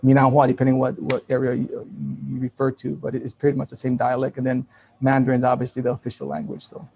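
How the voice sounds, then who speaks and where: Man, fifty, guest in a casual interview, dark husky voice, gravelly edges, first language Taiwanese, animated storyteller. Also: medium pitch, quick pace, rough recording. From Taiwan and Hawaii. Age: 50s. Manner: animated storytelling with frequent restarts and laughs. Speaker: guest, business owner.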